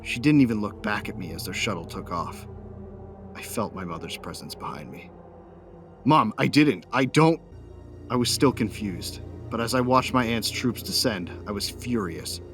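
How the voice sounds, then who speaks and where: A man's voice: fairly low pitch, expressive, normal speed. An actor playing an angry young fighter, a betrayed son, narrating his own story intensely in an audio drama. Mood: stunned. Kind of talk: dramatic first-person narration and acting. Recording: music bed behind voice.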